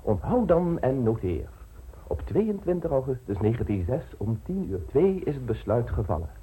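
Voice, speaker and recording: An actor playing a pompous, broke, out-of-work stage actor in a radio drama, speaking in a theatrical, grandiloquent manner. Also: male voice, mid-range voice, sing-song, normal speed, warm tone, slightly rough, low-quality recording.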